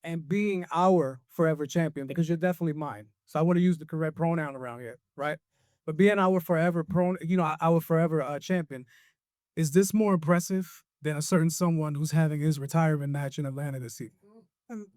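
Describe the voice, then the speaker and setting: A man's voice: fairly high pitch, a little fast, a little mellow, dry recording, clean studio sound. A man from a podcast asking a wrestler a playful, joking question at a press conference. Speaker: podcast reporter.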